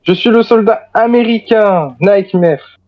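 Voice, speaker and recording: A man's voice: high-pitched, a little slow. A man improvising in character as a soldier in a video game role-play, recorded on a muffled, lo-fi microphone. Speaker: player role-playing an American soldier. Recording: muffled lo-fi mic.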